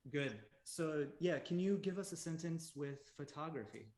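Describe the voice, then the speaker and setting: Man teaching English, slow and deliberate. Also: on the high side, expressive, a little mellow. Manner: slow and deliberate when modelling correct phrases. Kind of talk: conversation lesson with corrections.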